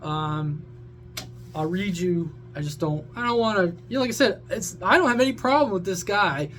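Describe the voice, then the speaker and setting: Male vlogger, high voice, blunt rambling rant with casual swearing, noticeable hum behind. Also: expressive, normal speed, fairly bright tone. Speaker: amateur radio vlogger. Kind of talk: casual opinionated rant to viewers.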